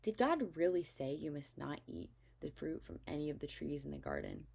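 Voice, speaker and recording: Woman reading as a shrewd serpent, low and creaky. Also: very animated, normal speed, a little mellow, full character voice. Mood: sly, persuasive.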